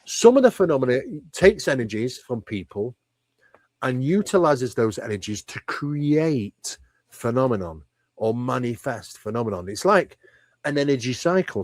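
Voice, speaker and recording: A man giving an interview as a researcher, with a very animated pitch that swings up and down. He talks in an emphatic, conversational way, posing questions and repeating phrases for stress. The sound is dry and close. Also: no room sound. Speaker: interview guest, paranormal researcher.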